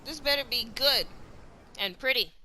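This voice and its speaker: A woman's voice: high voice, moderate pace, background noise. A woman streamer giving casual, informal commentary and talking back to the game as she plays. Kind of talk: casual reactive gameplay commentary.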